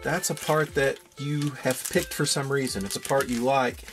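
A man's voice: mid-range voice, fairly even intonation, normal speed, fairly bright tone, faint music behind. A man hosting a hands-on electronics tutorial, talking informally and thinking aloud as he works. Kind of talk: casual narrated build demo. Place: indoor electronics workbench.

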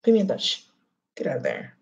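A woman's voice: deep voice, huge pitch swings, fairly quick, vocal fry, darkish tone, slightly rough, lo-fi sound. A woman in her thirties teaching a Spanish lesson online from a small room at home, speaking with a Dominican accent on the Spanish words and making chatty, joking asides to her students. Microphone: webcam close up.

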